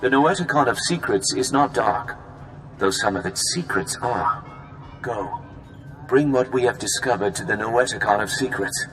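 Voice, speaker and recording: A man's voice: mid-range voice, normal speed, fairly bright tone, natural voice. An actor playing a serene, wise male Jedi elder in a video game, speaking solemnly and formally. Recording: long reverb, music behind.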